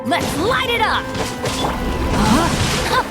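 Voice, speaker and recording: A woman's voice: extremely high pitch, very animated, takes it slowly, heavily acted. An actress playing a fierce, spirited young female fighter in a video game, throwing out punchy battle cries. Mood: fierce, fired up. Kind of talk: video game combat voice lines. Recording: game audio under loud music, impacts.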